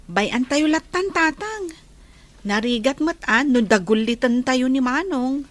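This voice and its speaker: A woman's voice: high-pitched, expressive, unhurried. A woman with an Ilocano accent playing a caring, respectful younger sister in an audio drama.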